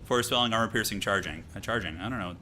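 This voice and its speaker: A man's voice: lowish voice, very fast, pushed and bright, slight background noise. A man giving casual, slangy live commentary as he plays a strategy game, thinking aloud. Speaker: streamer playing a strategy game. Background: game music.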